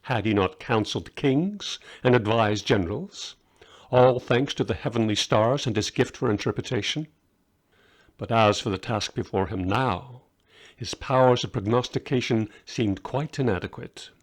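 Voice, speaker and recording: Guy reading a story out loud, audiobook style, mid-pitched voice. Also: normal speed. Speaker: author reading own story.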